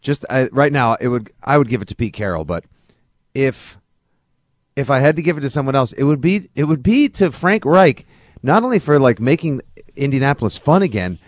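A man's voice: medium pitch, expressive, brisk, no room sound, studio quality. A man co-hosting a sports podcast, chatting casually about football in a colloquial, emphatic way. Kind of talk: casual football analysis chat.

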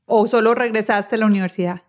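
A woman's voice: on the high side, steady tone, fast. Woman hosting an interview. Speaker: interview host.

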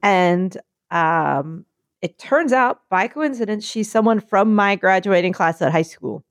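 A woman chatting casually, telling a story and acting out quotes in a higher voice. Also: measured pace, no room sound, clean studio sound. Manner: animated, acts out quotes in higher voice.

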